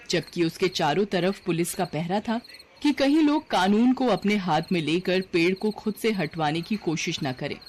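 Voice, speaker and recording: Animated woman storyteller, noisy background. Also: on the low side. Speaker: storyteller, narrator. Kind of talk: scripted story narration.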